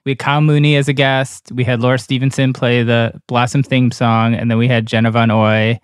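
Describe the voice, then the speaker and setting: A man co-hosting a podcast in casual chat, easygoing, with halting restarts. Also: medium pitch, level tone, clear, resonant tone, no room sound, studio quality. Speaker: podcast co-host.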